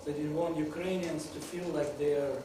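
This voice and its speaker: Guy talking to a crowd, bit high voice, far off in an echoey hall, noisy. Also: fairly even intonation, moderate pace. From Soviet Union, grew up in Kyiv. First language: Russian. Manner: joking, laughing, broken English. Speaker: guest speaker at a benefit. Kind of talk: informal talk to an audience. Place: lit stage in an arts center room.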